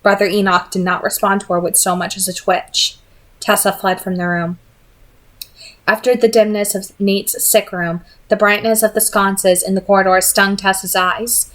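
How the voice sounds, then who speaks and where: Female reader for expressive amateur audiobook narration, medium pitch. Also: normal speed. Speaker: reader of a novel read-aloud.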